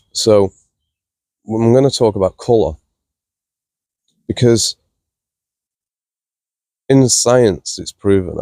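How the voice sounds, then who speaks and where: Sick guy with a croaky voice, dark mellow tone, um-ing and restarting as he explains stuff. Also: low-pitched, dry recording, clean studio sound. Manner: hesitant, many fillers and restarts. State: ill, croaky voice. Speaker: spiritual vlogger. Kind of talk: casual reflective explanation.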